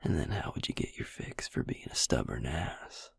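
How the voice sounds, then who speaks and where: A man voicing a gruff, caring, sardonic vampire healer in an intimate ASMR roleplay, speaking in a breathy, gravelly near-whisper. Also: a bass voice, lively intonation, moderate pace, no echo, heavily acted. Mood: weary, teasing.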